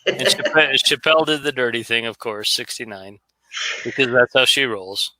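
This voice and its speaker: A man's voice: medium pitch, moderate pace, bright, forceful tone, no room sound, low-quality recording. A man hosting a casual livestream chat, speaking earnestly and addressing his viewers. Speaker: streamer host.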